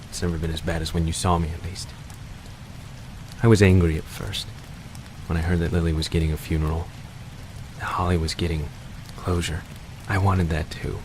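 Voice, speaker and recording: Casting: male actor for sorrowful, resigned widower in a noir game, deep voice, hesitant speech. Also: darkish tone, slight background noise, close to an everyday voice, poor audio. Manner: halting, hesitant. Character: mournful, resigned, soft-hearted widower in a fairy-tale noir.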